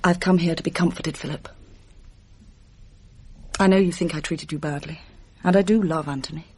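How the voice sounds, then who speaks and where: An actress playing a restless, unhappy young wife who speaks wistfully and earnestly in a 1980s radio drama. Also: female voice, sits low, steady tone, normal speed, a little mellow, husky.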